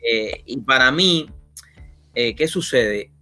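A man's voice: medium pitch, expressive, slow, sharp tone, soft background music. A man hosting an interview, talking in a rambling, opinionated way with many fillers. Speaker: interview host. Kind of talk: conversational interview.

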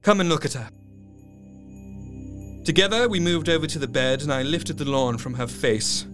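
Actor as a solemn gentleman doctor, higher-pitched voice. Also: lightly acted. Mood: sombre, tender. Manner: measured, literary, solemn. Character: grieving young doctor narrating his diary, gentle and sombre. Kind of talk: dramatic first-person audio drama narration. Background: music.

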